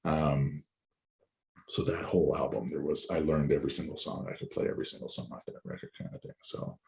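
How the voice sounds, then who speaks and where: A man speaking as a musician guest in a casual interview, candid and rambling, his voice hoarse after a weekend of shows. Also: very deep voice, steady tone, brisk, darkish tone. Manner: rambling, candid, swears freely.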